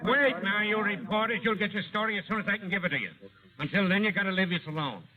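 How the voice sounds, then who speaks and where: An actor playing a brusque, harried, tough police inspector who talks in blunt, slangy bursts in an old radio drama. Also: male voice, sits high, fast, a crowd in the background, lightly acted. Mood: exasperated, shouting. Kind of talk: 1940s radio drama acting. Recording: scratchy, noisy, narrow-band vintage radio recording.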